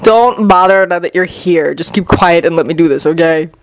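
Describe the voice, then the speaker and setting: A woman's voice: lowish voice, normal speed, no room sound, natural voice, rough recording. A woman voicing a brooding, exasperated preteen ninja boy, with a dry, dramatic, put-upon manner.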